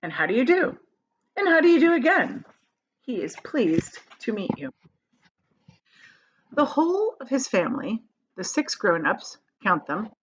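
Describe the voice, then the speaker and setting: A woman reads a children's story aloud like a teacher, with dramatic emphasis and drawn-out key words. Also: on the low side, dull audio.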